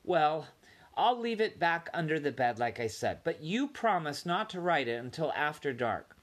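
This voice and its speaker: A man voicing a friendly, kind young boy who speaks in a hushed, friendly way. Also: a little high, sing-song, normal speed, voice pushed up.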